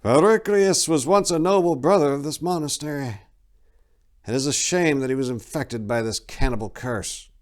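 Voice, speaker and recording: A man voicing an ancient, wizened male abbot who speaks in a measured, solemn, questioning way for a fantasy story. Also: a little high, voice pushed up, natural voice. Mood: grave, probing. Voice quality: wizened, aged voice.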